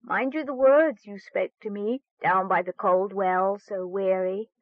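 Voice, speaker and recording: A woman voicing an enchanted frog in a fairy tale, croaking coaxing, singsong pleas full of endearments. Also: medium pitch, fairly even intonation, measured pace, dry recording, highs cut off, natural voice. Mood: pleading, insistent. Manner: singsong, rhyming entreaties with endearments. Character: goggle-eyed enchanted frog, coaxing and insistent. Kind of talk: fairy tale storybook reading.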